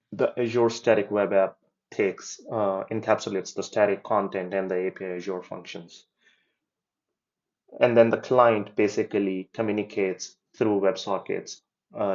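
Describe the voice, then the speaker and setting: A man explaining and demoing his software project in a casual walkthrough, hesitating with ums and restarts as he goes. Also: on the low side, lo-fi sound. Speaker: developer giving a project demo. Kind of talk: casual screen-share demo walkthrough.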